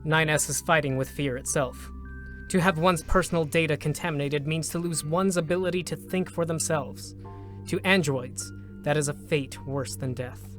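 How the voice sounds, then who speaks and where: A man narrating a scripted drama in a measured, solemn manner, with short, deliberate phrases. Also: a little high, fairly bright tone. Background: music.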